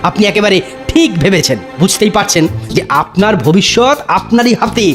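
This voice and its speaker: A man voicing a respectful, composed royal adviser in a cartoon, giving counsel in a measured way. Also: high-pitched, expressive, soft background music. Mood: reassuring. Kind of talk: cartoon voice acting.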